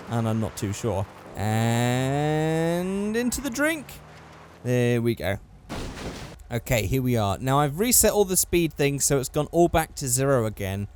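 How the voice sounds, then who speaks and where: Gaming host, male, hoarse and fading, lively pitch, slightly high voice, engine noise under him. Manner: excitable, jumps up in pitch at close calls. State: hoarse, losing his voice. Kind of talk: casual gameplay commentary.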